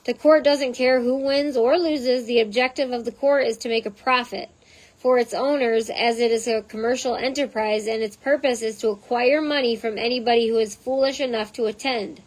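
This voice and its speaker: Hoarse young woman, fairly high voice, reading aloud, chatty asides. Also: steady tone, moderate pace, poor audio. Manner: chatty, drifts into side notes. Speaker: reader and channel host.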